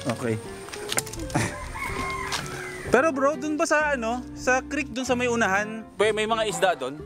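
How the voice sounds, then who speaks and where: A man's voice: very high-pitched, sing-song, brisk. Chatty man vlogging outdoors, music behind. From Aklan, Philippines. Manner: chatty, joking, laughs often. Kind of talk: casual vlog chat. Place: outdoors at a mango farm by a pond. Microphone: handheld camera at arm's length. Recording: background music under voice.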